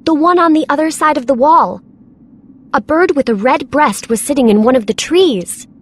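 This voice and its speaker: High-pitched, lonely, sour little girl. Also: expressive, moderate pace. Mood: curious. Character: lonely, sour young girl, curious and blunt. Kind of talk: animated children's story acting.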